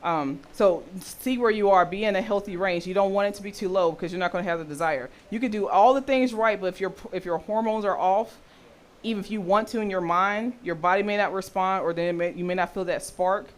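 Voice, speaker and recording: Deep-voiced woman teaching a workshop. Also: a little fast. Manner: checks in often with "okay?" and quizzes listeners. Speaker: health and intimacy educator.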